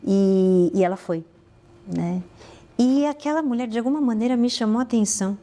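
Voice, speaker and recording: A woman's voice: fairly high pitch, normal speed, dark tone. A woman with a Brazilian Portuguese accent, a podcast guest telling stories earnestly, with frequent restarts and sudden rises in emphasis. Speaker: guest, founder of a social fashion project. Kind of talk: conversational podcast interview.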